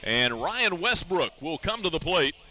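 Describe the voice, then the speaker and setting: A man calling baseball play-by-play on the radio in a live sports commentary style, with measured, deliberate phrasing. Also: fairly high pitch, very animated, sharp tone, faint music behind.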